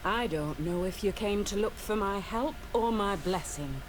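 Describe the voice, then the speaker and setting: A woman's voice: mid-range voice, a little slow, lightly acted. Principled woman guard commander played by an actress, noisy background. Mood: grave, troubled. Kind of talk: video game voice acting.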